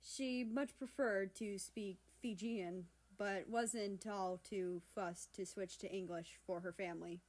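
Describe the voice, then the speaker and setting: A woman's voice: medium pitch, fairly even intonation, slow pace, few pauses, darkish tone, poor audio. A woman narrating a scripted story as its reader. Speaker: fan fiction reader, narrator.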